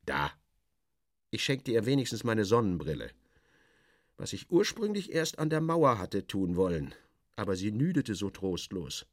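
A man narrating literary prose in the first person, wry, clipped and expressive. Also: fairly low pitch, unhurried, no room sound, clean studio sound.

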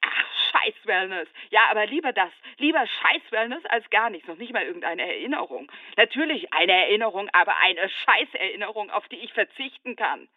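A woman's voice: mid-range voice, dry recording, natural voice. An actress playing an embittered, agitated woman in a radio drama, speaking in an emotional, repetitive, coarse way. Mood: bitter. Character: embittered, agitated woman brooding over shame and regret. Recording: dry, muffled, narrow-band sound.

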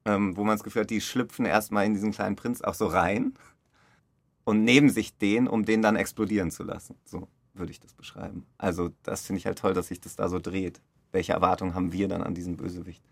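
A man with a deep voice and a gravelly creak talks as a director in an interview. He explains with enthusiasm, feeling his way through loose sentences. The sound is close and dry. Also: dry recording. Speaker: radio play director. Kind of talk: interview conversation.

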